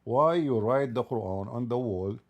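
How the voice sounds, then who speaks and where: A man's voice: mid-range voice, moderate pace. A middle-aged man, hosting a debate livestream, mocking and needling his guest with repeated pointed questions. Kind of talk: mocking, provocative debate talk.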